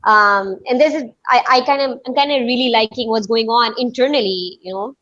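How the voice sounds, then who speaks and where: Woman, urdu native speaker, higher voice, doing edgy standup over a muffled call line. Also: a little fast, a bit bright. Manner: blunt and irreverent. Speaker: comedian. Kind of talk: stand-up comedy bit.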